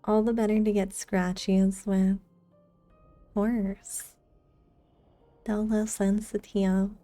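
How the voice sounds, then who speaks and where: A woman's voice: medium pitch, flat delivery, slow pace, mellow, no room sound. A woman live streamer chatting casually and in a friendly way with her viewers while gaming. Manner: friendly, greets viewers by name. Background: game music.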